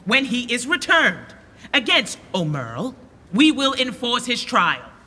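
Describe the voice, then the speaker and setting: An actor playing a commanding lord about to take the throne, with measured Shakespearean radio delivery. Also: male voice, very high-pitched, sing-song, sharp tone, heavily acted. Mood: regal authority. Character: commanding usurper lord about to become king. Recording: long reverberant radio drama sound.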